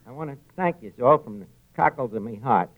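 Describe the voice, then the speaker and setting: A man's voice: mid-range voice, expressive, brisk, background noise, natural voice. An actor playing a folksy, sentimental, wisecracking New York tavern man who mangles his grammar in an old-time radio comedy. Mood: sentimental, grateful. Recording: muffled lo-fi vintage radio recording.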